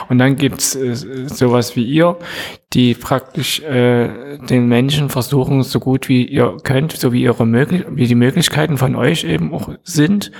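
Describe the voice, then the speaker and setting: A man hosting a podcast discussion, speaking haltingly with many fillers and restarts. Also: medium pitch, vocal fry, darkish tone, breathy edge. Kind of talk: casual discussion.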